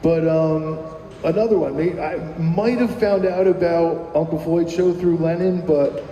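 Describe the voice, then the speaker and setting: A man's voice: on the high side, fairly even intonation, measured pace, mellow, dull audio. A man guesting on a radio show, chatting casually and rambling with many ums and restarts. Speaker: musician guest. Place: live broadcast at a venue. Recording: roomy live venue audio from far off.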